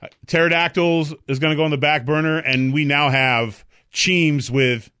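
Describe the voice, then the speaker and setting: A man's voice: a little high, normal speed, clear, resonant tone, no room sound. Joking man with a dry, close sound. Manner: joking, loud excited outbursts, rambling asides. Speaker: co-host. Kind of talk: casual banter on a talk show.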